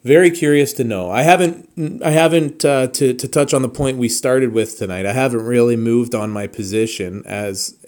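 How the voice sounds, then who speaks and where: A man hosting a solo podcast, speaking straight to listeners in a deliberate, halting way with pauses mid-thought. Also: medium pitch, a little mellow. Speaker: podcast host.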